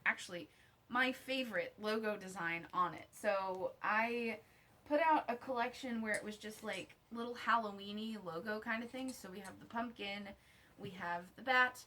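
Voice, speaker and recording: A woman vlogger talking casually to camera in an unboxing style, slangy and informal, often thinking out loud to herself. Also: medium pitch, moderate pace. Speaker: vlogger reviewing her own merch.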